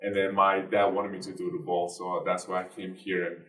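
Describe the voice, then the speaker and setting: A young man with a Turkish accent, answering interview questions earnestly in halting speech full of fillers. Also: sits low, monotone, pushed and bright. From Turkey. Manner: earnest, halting, many fillers and broken grammar. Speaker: guest, basketball player and activist. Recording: roomy, distant from the mic.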